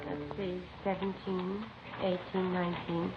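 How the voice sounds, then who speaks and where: A woman's voice: on the low side, little pitch movement, a little slow, music in the background, lightly acted. An actress playing a practical, understated policewoman in an old radio crime drama. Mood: matter-of-fact. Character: practical, matter-of-fact policewoman colleague. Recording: muffled tinny vintage radio, faint noise.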